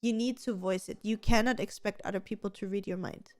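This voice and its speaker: A woman's voice: mid-range voice, fairly even intonation, brisk, a little mellow. A woman co-hosting a casual podcast, chatty and giving advice, with a slight stutter and many restarts. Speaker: podcast co-host.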